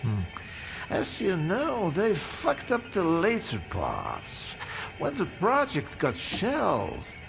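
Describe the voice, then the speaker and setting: An actor playing an eccentric, boozy ex-scientist in a video game, sardonic and philosophical, drawling and musing with frequent hums. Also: male voice, fairly high pitch, gravelly, exaggerated voice. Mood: sardonic, rambling. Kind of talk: theatrical video game dialogue.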